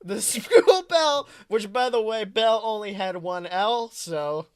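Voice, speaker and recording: A podcast co-host in casual comedic chat, jokey and quick to laugh. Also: mid-range voice, animated, clear, resonant tone, no room sound, studio quality.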